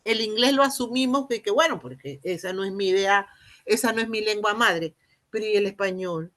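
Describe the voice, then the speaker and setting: A woman with a Panamanian Spanish accent giving an explanatory lecture, haltingly, repeating and rephrasing phrases and posing rhetorical questions. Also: medium pitch, a bit bright. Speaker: university lecturer in teacher training.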